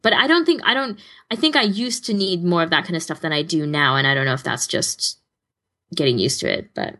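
Lively lower-voiced woman chatting. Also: a little fast. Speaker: podcast co-host. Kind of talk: casual podcast chat.

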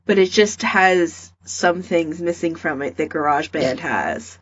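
A woman's voice: low voice, a little slow, slightly rough, lo-fi sound. A woman co-hosting a podcast in casual discussion. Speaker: podcast co-host.

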